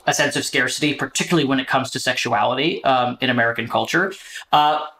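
A man's voice: mid-range voice, bright, forceful tone, poor audio. A man speaking as a panelist in a conversational discussion, earnest and persuasive. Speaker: theater director and panelist.

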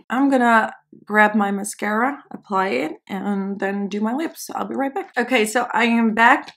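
A young Dutch woman talks through her makeup routine in a loose, self-mocking way, her voice often slipping into a gravelly fry. She sits close to the camera in a small indoor studio. She is speaking English, which is not her first language. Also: a little high. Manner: chatty, self-deprecating, talks while applying makeup. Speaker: beauty vlogger. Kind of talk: casual makeup tutorial chat.

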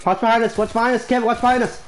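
A young man streaming a video game, shouting quick repeated callouts to his squad in casual chat. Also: very high voice, flat delivery. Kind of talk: excited gaming chat. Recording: gaming voice chat with noise.

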